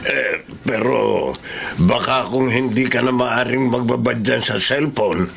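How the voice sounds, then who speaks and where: An actor playing an older, practical and quietly suspicious father with a gravelly voice and a Filipino accent in a radio drama. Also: medium pitch, slow pace. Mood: hesitant, suspicious. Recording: faintly noisy radio drama track.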